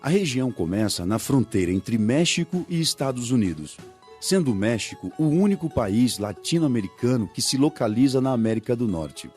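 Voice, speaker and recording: Brazilian male narrator, measured and animated, light music bed. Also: mid-range voice. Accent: Brazilian Portuguese. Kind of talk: scripted documentary narration.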